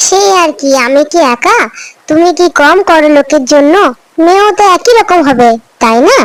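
A woman's voice: sits high, expressive, moderate pace, no room sound. A woman playing a caring village housewife and mother in a children's cartoon, talking in a homely, chatty way. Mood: gentle approval. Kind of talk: Bengali children's cartoon story dialogue.

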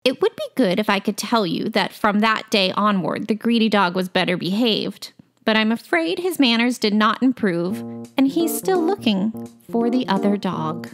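A woman's voice: mid-range voice, expressive, normal speed, soft background music. A woman reading a scripted children's tale as a storyteller.

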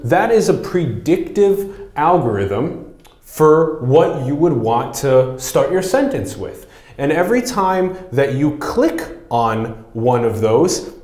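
A man's voice: medium pitch, darkish tone. Casual male host in an echoey studio. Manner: casual, restarts phrases, walks through demos. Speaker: podcast host. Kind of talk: conversational interview hosting. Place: small studio set with whiteboard. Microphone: lapel.

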